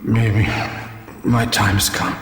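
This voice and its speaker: An actor playing a dying male soldier in a video game, speaking in a halting, stilted way. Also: lowish voice, little pitch movement. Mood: regretful. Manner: halting, slow, stilted delivery. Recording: echoey game audio with long reverb and music.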